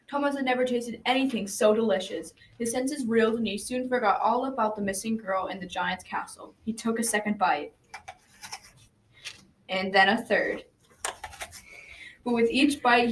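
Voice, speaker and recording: A woman's voice: a little high, natural voice. School girl narrating a folktale as grandpa, reading a script, bit far from mic with faint noise. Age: young adolescent. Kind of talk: scripted storytelling in a school radio drama. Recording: faint noise, slightly distant microphone.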